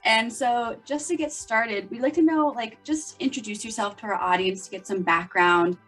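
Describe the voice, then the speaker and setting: A woman's voice: a little high, normal speed, fairly bright tone, faint music behind. A young woman co-hosting a podcast, introducing the show and interviewing a guest in an upbeat, attentive way. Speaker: podcast co-host.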